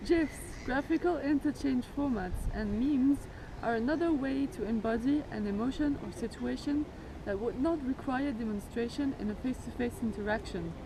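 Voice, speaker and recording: Woman reading a school narration, kinda high voice, noise hissing underneath. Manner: measured, formal reading. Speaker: student narrator. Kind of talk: scripted narration.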